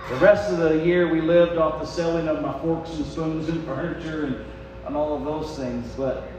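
High-voiced American preacher in an echoing hall. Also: male voice. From United States. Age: 40s. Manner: folksy, dramatic, acts out remembered dialogue, swings from quiet confiding to shouting. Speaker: preacher and missionary. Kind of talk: sermon testimony, storytelling to a congregation. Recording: echoey hall, distant, faint hum.

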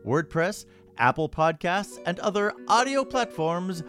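A jovial storyteller, played by a man, narrates a fantasy audio drama trailer. His voice moves in lively swings over a clear music bed. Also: sits high, unhurried. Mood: announcing. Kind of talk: scripted promotional narration.

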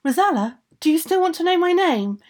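A woman voicing a mysterious enchanted prince who speaks slowly and deliberately. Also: very high-pitched, big pitch swings, darkish tone, voice pushed up. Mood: solemn. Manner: slow, deliberate.

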